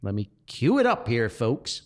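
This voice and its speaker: A man's voice: medium pitch, wildly swooping pitch, a little slow. A man hosting a podcast, talking casually as he introduces comedy skits. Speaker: podcast host.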